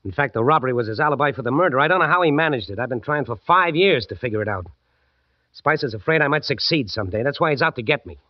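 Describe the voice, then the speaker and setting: Fast-talking, hard-bitten American detective played by a man. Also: medium pitch, expressive, no echo, lightly acted. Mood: worried, matter-of-fact. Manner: brisk, slangy, matter-of-fact. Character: businesslike, worried male private detective, married, hard-bitten. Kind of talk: old-time radio detective drama acting. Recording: dull vintage radio recording.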